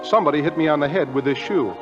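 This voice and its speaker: An actor playing an earnest, good-natured, slightly exasperated young farmer husband in 1940s radio comedy style. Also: male voice, on the high side, very fast, lightly acted. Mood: annoyed. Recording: dull old radio recording, music, crowd.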